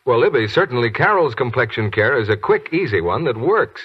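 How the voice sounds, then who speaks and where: Husky, animated American radio announcer man. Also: medium pitch, brisk. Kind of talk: scripted 1940s radio announcing and advertising. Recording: dull 1940s radio recording.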